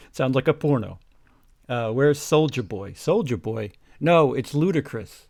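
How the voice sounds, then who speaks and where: Gravelly man making bemused interjections. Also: mid-range voice, expressive, a little slow, a little mellow, dry recording, studio quality. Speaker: co-host reacting to a reading. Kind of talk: casual comedic banter.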